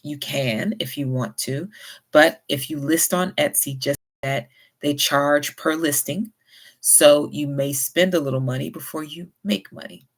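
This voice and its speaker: A woman with a light Southern US accent, talking at an unhurried pace and giving friendly, encouraging advice to her viewers. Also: low voice. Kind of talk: casual advice vlog.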